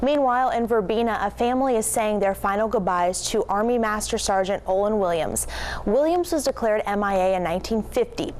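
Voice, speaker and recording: A woman's voice: medium pitch, a little fast, darkish tone. A woman anchoring a television newscast, reading scripted stories in a presenter's delivery. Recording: clearly noisy TV news aircheck.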